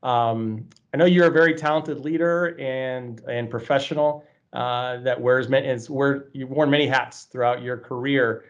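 A man's voice: mid-range voice, fairly even intonation, normal speed, few pauses. A man hosting an interview show, a campus president, friendly and loose with fillers and repeated words. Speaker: host, college campus president. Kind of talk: hosted interview. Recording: slightly dull lo-fi sound.

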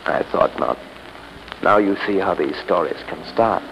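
An actor playing a stubborn, dismissive man in a 1930s radio drama, brisk and commanding with his companion. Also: deep voice, vocal fry, noisy background. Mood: scoffing confidence. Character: stubborn, dismissive skeptic determined to face a ghost. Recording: muffled 1930s radio recording.

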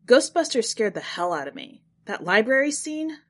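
A woman's voice: a little high, very animated, moderate pace, fairly bright tone, exaggerated voice. A woman voicing a guarded, skeptical grown man in a story reading, in a dry, matter-of-fact manner. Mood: dry, contradicting. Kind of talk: acted dialogue in a short story reading.

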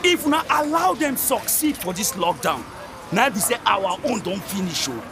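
A man's voice: high-pitched, very animated, moderate pace, vocal fry, heavily acted. An actor playing a scornful, hot-headed Nigerian townsman, argumentative and theatrical in a Pidgin radio drama. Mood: warning.

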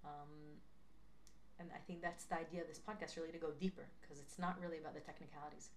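Earnest low-voiced young woman chatting. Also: little pitch movement, quick pace, slight background noise. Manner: earnest, thinking out loud, lots of "like". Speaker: podcast co-host. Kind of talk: casual chat.

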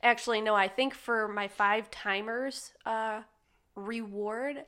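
A woman's voice: fairly high pitch, fairly even intonation, a bit bright. A woman in her thirties co-hosting a casual podcast, playful and teasing, getting over a cold. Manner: playful, teasing, quick to laugh. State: has a cold. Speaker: co-host. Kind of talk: casual chat.

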